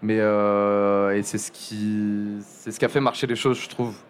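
A young man speaking casually as an interview guest, halting and restarting often, with many fillers. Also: fairly low pitch, flat delivery, slight background noise. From Montpellier area. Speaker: journalist guest.

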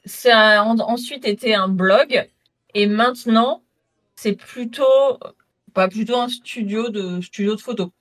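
A woman's voice: on the high side, slow. A young woman from southern France, a photographer answering interview questions in a chatty way with many fillers. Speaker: guest photographer. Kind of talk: casual interview talk.

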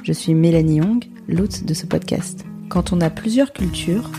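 A woman's voice: on the low side, moderate pace, over music. A woman from France hosting a podcast in a scripted, narrated style. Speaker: podcast host.

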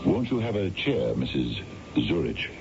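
Imposing formal financier, middle-aged, bottomless gravelly voice, measured, 1970s radio drama, muffled recording. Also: male voice, very animated, exaggerated voice. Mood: courteous. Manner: formal, measured, hesitant ums. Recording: muffled, noisy 1970s radio broadcast with rumble.

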